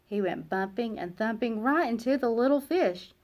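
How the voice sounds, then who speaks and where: A woman narrating a children's picture book aloud in an expressive, dramatic way, with emphatic pauses and hushed phrase endings. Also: fairly high pitch. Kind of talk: expressive storybook read-aloud.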